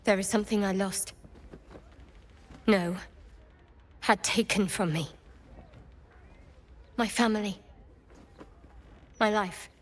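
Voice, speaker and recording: A woman's voice: medium pitch, fairly even intonation, takes it slowly, long pauses. An actress playing a defiant, wounded young woman in a video game, speaking in terse, intense phrases. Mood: pained. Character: defiant, wounded young woman seeking her stolen past. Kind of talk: dramatic video game acting.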